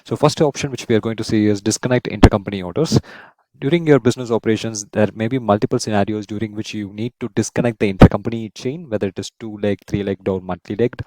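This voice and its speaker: A man in his thirties explaining software step by step as a tutorial host, often checking in with a "right?". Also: on the low side, quick pace, no room sound, poor audio. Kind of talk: screen-share software tutorial, semi-scripted explanation.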